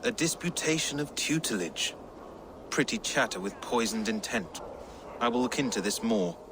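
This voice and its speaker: An actor playing a wry, defiant medieval English reeve with a salty, sardonic turn of speech, in a video game. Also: male voice, medium pitch, lively intonation, normal speed, background noise, close to an everyday voice. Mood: indignant, scornful. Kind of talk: dramatic video game acting.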